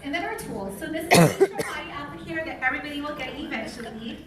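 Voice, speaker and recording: A woman's voice: sits high, lively intonation, quick pace, crowd noise. An American woman giving a live product training, speaking informally and putting questions to her audience. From lives in Utah, United States. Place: large room with an audience. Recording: echoey room, distant mic, noisy.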